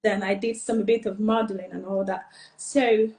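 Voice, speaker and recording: A woman's voice: medium pitch, steady tone, normal speed, a little mellow, reverberant, rough recording. A woman from eastern Nigeria, a pageant finalist, answering interview questions in an earnest, rambling way with many fillers.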